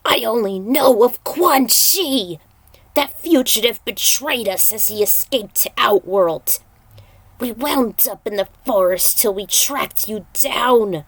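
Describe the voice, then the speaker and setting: Scornful demon for a story female reader: proud, bitter, unhurried, defiant speeches. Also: a little high, lively intonation, husky, no echo, heavily acted. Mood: desperate.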